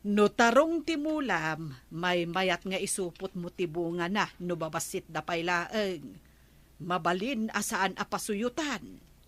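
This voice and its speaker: A woman's voice: on the low side, lively intonation, slow. A woman playing a practical, agreeable village neighbor with an Ilocano accent in a radio drama. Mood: advising.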